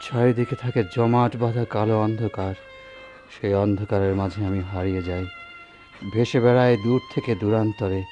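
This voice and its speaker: An actor playing a dying, embittered but tender doctor in a radio drama, speaking in a halting, poetic, theatrical way. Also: male voice, lowish voice, fairly even intonation, warm tone, highs cut off, faint music behind, natural voice. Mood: bitter, sorrowful. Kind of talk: Bengali radio drama acting.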